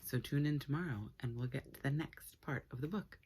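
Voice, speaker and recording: A woman reading a story aloud for children in an expressive, playful way with dramatic pauses. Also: a bass voice, breathy edge.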